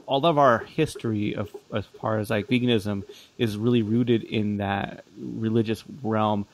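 Guy podcast host, lowish voice, chatty interview questions, dry close sound. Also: steady tone, measured pace, no room sound. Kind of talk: conversational interviewing.

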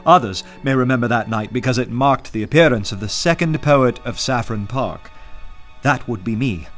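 Man playing earnest gentleman poet over music. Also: mid-range voice, natural voice. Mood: reflective storytelling. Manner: measured when narrating, fervent and rhetorical in debate. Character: earnest, respectable gentleman poet of law and order. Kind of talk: radio drama narration and acting. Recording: music bed under the voice.

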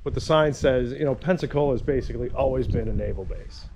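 A man's voice: mid-range voice, fast. A middle-aged man giving an easygoing, casual walking tour commentary outdoors, thinking aloud as he goes. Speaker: hiking vlogger. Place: outdoors walking around an old fort. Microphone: 360 camera held at arm's length. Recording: windy outdoor camera, dull muffled sound.

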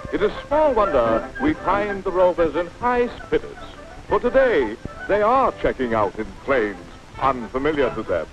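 A swooping, pompous mock-heroic narrator. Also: male voice, medium pitch. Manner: pompous, florid, theatrical. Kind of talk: scripted 1940s cartoon narration. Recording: muffled 1940s film soundtrack, music behind.

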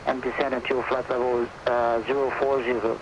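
Controller on a dull radio channel, hesitant delivery over static. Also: male voice, medium pitch, flat delivery, a little fast. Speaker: air traffic controller. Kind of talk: radio clearances.

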